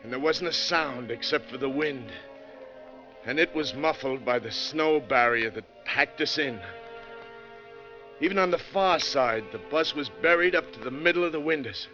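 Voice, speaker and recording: A man's voice: mid-range voice, normal speed, bright, forceful tone, lightly acted. A man playing a plain-spoken bus driver in a 1940s radio drama, talking in a folksy, colloquial way. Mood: stunned recounting. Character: plain-spoken male bus driver recounting a harrowing trip. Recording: dull 1940s radio recording, music behind.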